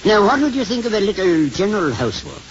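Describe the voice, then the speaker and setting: An actor playing a fussy, moralizing, paternal senior priest in a 1950s radio drama. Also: male voice, high voice, sing-song, quick pace. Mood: disapproving. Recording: noisy muffled 1950s radio broadcast.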